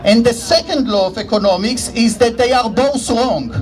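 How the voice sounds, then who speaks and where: High-voiced Israeli man giving a speech. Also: a crowd in the background. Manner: measured, formal delivery with dry humor. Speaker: economics professor. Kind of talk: prepared rally speech. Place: outdoor rally.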